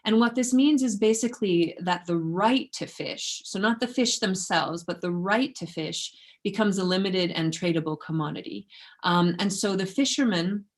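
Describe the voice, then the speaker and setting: A woman's voice: medium pitch, moderate pace. A woman researcher giving an informal academic talk in a conversational, self-effacing way. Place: indoors in an office, online presentation.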